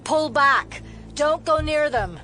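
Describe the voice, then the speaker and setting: An actress playing a serious, skeptical federal agent in an audio drama, earnest and clipped. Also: female voice, sits high, lively intonation, takes it slowly, sharp tone, soft background music, exaggerated voice. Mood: urgent warning. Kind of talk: naturalistic audio drama acting.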